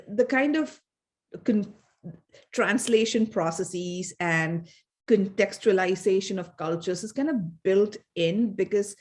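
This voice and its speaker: A woman's voice: on the low side, a little mellow. A woman from India, co-hosting a casual academic discussion, thoughtful and hesitant with many fillers. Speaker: podcast co-host, fan scholar.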